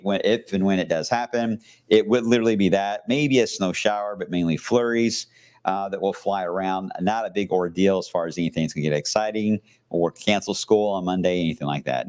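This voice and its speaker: A man's voice: deep voice, brisk, darkish tone. A man giving a live TV weather forecast from a shopping outlet, in a loose, chatty way with fillers and asides. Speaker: TV meteorologist.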